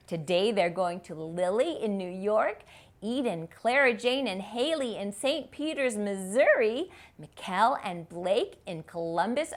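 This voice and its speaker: A woman's voice: fairly high pitch, a bit bright. A woman hosting a children's story time, addressing young viewers directly in a scripted way.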